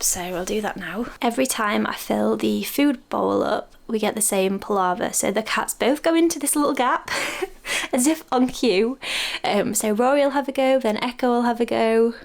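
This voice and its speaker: A woman's voice: fairly high pitch, vocal fry. A young woman vlogging casually about her day with her cats, a little sniffly from a cold and laughing often. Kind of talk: casual vlog narration.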